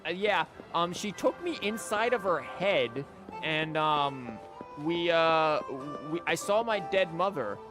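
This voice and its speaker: Man streaming games over loud music. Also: on the high side, expressive, slow. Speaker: game streamer. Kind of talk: casual gameplay commentary. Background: game music.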